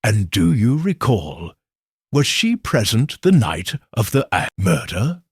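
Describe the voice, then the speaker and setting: A man voicing a shrewd, calm Victorian detective who reasons in a measured, precise way, in a husky voice. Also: lowish voice, no room sound, studio quality, full character voice.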